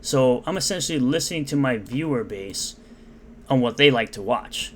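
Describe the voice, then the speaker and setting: A man's voice: mid-range voice, expressive, normal speed, fairly bright tone, slight background noise. A man reading out and mocking comments in an unscripted, sarcastic rant. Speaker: commentary YouTuber.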